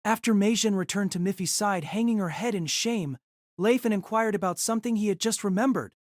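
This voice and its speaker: A woman's voice: medium pitch, brisk, darkish tone, dry recording, studio quality. A woman reading scripted narration of a light novel as the narrator of an audio drama.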